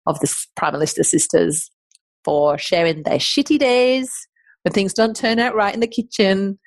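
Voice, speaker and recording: A woman's voice: medium pitch, moderate pace, no room sound. A middle-aged woman with an English accent, hosting a podcast in a chatty, enthusiastic monologue. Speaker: podcast host.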